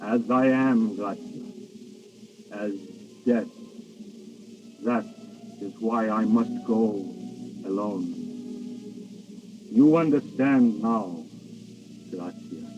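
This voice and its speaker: An actor playing Death revealed, grave and deliberate in old radio drama style. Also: male voice, fairly low pitch. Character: Death revealed, solemn, slow, unearthly reaper. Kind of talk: 1930s radio drama acting. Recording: muffled old radio, music behind.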